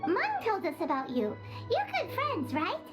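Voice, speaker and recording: Squeaky little fairy girl, very high. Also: sing-song, moderate pace, loud music behind the voice. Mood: cheerful. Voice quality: squeaky, childlike. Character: small excitable floating fairy companion girl, chatty, naive. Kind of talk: video game voice acting.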